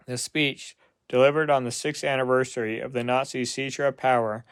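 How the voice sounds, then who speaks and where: Man reading with flat intonation, smooth and dry. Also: medium pitch, no echo. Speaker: presenter. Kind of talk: scripted narration read aloud, informational.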